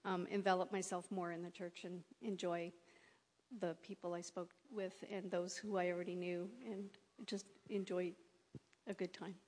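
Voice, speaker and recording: A woman's voice: on the low side, little pitch movement, normal speed. A woman in a congregation sharing a short reflection, shy and hesitant. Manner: shy, soft-spoken, hesitant. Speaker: congregation member. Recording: echoey church hall.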